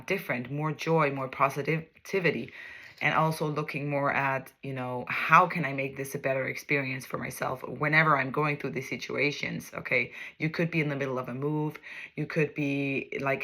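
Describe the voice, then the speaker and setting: A woman with a Danish accent gives a loose, chatty tarot reading, her voice bright and forward. She wanders through her thoughts, hunts for the right words and keeps checking in with her listeners with a quick "okay?". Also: a bass voice. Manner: rambling, searches for words, keeps asking "okay?". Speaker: tarot reader. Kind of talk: casual spoken tarot reading.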